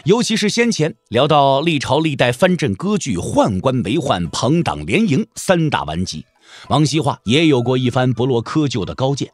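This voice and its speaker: Animated male wuxia storyteller, dry studio sound. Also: medium pitch, normal speed, dry recording, faint music behind. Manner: expressive, wry, colloquial storytelling. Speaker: narrator of an audio drama. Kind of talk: dramatic storyteller narration of a wuxia novel.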